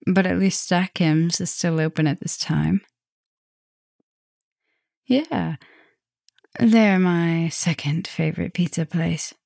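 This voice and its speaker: A woman playing a tender, gently dominant vampire professor in an intimate ASMR roleplay, speaking softly and soothingly, often slipping toward a whisper. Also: lowish voice, no echo, studio quality, exaggerated voice. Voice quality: soft, breathy, often dropping to a whisper. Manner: slow, soothing, affectionate murmuring with pauses.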